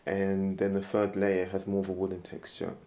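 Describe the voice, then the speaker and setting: A man, talking calmly and reflectively about his woodturning work in an interview in his studio. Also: sits low, moderate pace. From London. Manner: calm, reflective, soft-spoken.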